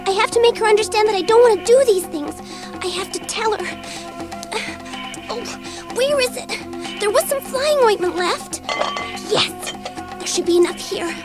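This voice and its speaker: Very high, anxious, pleading schoolgirl. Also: moderate pace, long pauses. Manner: breathless, pleading, exclamatory. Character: anxious, pleading schoolgirl, horrified by her own wishes. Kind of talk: dramatised audiobook acting. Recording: music behind the voice.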